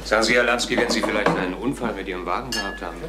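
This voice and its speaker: A man acting a practical police detective in a crime radio drama. His voice is lowish and quick, with a matter-of-fact, informal way of asking things. It sounds echoey and set back from the microphone. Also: loud music behind the voice, close to an everyday voice. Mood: questioning. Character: practical, helpful male detective and neighbour. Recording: roomy, distant, faintly noisy.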